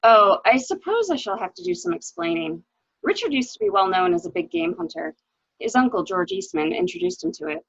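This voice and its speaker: A woman's voice: sits high, sing-song, fairly quick, creaky, dry recording, heavily acted. A woman acting a resigned, bitter wife suspected of murder, with genteel, theatrical phrasing, in a dramatic reading of a mystery. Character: resigned, bitter wife caught beside her murdered husband. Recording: dull, lo-fi audio.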